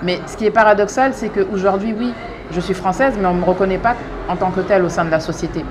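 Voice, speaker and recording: A woman's voice: mid-range voice, fast. Earnest woman in her thirties, crowd behind. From France. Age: 30s. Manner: thoughtful, earnest, many fillers and restarts. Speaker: clothing brand founder. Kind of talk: interview monologue.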